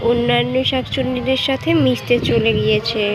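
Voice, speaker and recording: A woman's voice: fairly high pitch, flat delivery, a little fast, poor audio. A woman reading scripted narration for a cartoon story.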